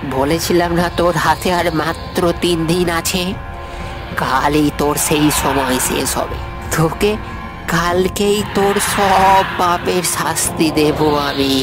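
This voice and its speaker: A man playing the vengeful ghost of a young lover in an animated horror story, speaking obsessively with repeated phrases. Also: fairly high pitch. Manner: menacing, drawn-out threats with repeated phrases. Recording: music behind the voice. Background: clear music.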